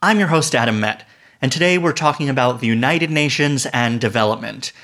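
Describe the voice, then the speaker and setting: A man hosting a podcast, reading a scripted intro and asking interview questions in an upbeat, clear way. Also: mid-range voice, fairly quick, a bit bright, soft background music. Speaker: podcast host.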